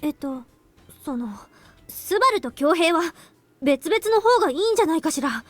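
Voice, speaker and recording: A woman's voice: sits high, expressive, fast talker, a bit bright, dry recording. Prickly, guarded anime girl. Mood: hesitant. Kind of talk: Japanese visual novel voice acting. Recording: music under the voice.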